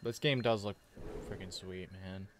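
A young man giving a casual, halting, slangy reaction commentary as a gaming YouTuber at a desk indoors. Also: low voice, steady tone. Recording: clear background noise of game ambience.

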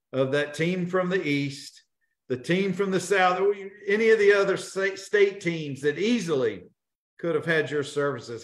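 A man in his thirties hosting a live video interview, upbeat and joking with quick asides. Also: a little high, a bit bright. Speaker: host. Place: home office, indoors. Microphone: video call. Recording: roomy video call audio.

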